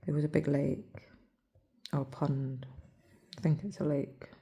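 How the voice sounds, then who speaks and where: A hoarse English woman talks in a soft, near-whispered murmur as she chats casually over her stitching. Her low voice stays level and mellow, with a gravelly, husky roughness. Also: fairly even intonation, unhurried. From England. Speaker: cross-stitch vlogger. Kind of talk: casual chat while stitching. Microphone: phone.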